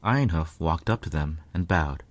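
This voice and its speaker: A man narrating a story in the first person with a measured, earnest, dramatic delivery. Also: extremely low pitch, animated, no room sound.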